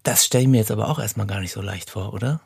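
A man's voice: fairly low pitch, quick pace, vocal fry, a little mellow, breathy edge, dry recording, studio quality. A man hosting an interview in a casual, conversational style, asking questions and commenting. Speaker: interviewer, host.

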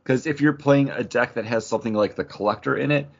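A man's voice: mid-range voice, fast talker, gravelly, slightly rough, lo-fi sound. A man co-hosting a casual gaming chat, explaining things in detail with plenty of slang. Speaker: podcast co-host.

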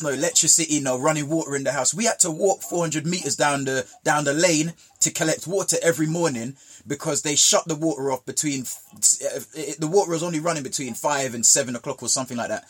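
A man's voice: on the high side, fast talker, a bit bright, husky. A man from Jamaica telling childhood stories in casual conversation, with emphatic repetition. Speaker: guest.

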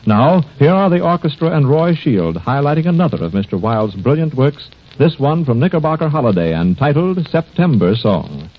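A man's voice: a little high, big pitch swings, normal speed. An American man announcing a 1940s radio music program from a script, with polished, formal phrasing. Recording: dull, noisy 1940s radio recording.

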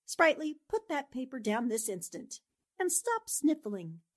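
Actress as a high-voiced, shrewd lady reporter. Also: sing-song, full character voice. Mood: exasperated, brisk. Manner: brisk, decisive, articulate. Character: shrewd, take-charge woman newspaper reporter. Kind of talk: old-time radio drama acting.

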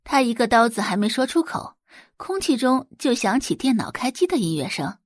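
A woman's voice: on the high side, very animated, normal speed, dry recording, clean studio sound. A woman reading scripted, dramatic story narration for an audio drama.

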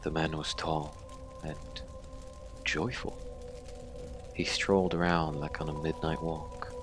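An actor playing a haunted young Mexican man in his twenties, telling his tragic story in a halting, sweary, informal monologue for an audio drama. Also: very deep voice, level tone, over music. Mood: grave, haunted. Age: 20s.

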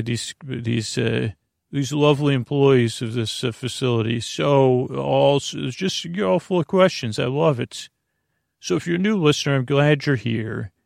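Male bedtime podcast host, heavy vocal fry, halting meandering delivery, frequent tangents, dry studio-like sound. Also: medium pitch, no room sound. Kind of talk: rambling improvised bedtime podcast monologue.

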